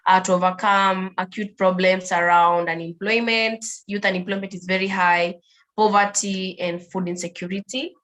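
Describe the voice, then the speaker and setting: A woman's voice: mid-range voice, fairly bright tone. Woman, panelist on a phone video call, upbeat and keen, mid-range voice, plenty of ums and uhs. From Kenya. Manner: eager, upbeat, frequent um and uh fillers. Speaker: panelist, digital activist. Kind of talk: online forum self-introduction.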